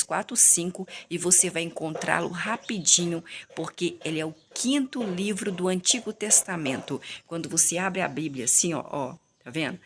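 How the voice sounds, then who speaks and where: A woman's voice: lowish voice, slight background noise. A Brazilian woman teaching the Bible informally, speaking straight to viewers, repeating herself and answering her own questions. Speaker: Bible teacher hosting a recorded reading.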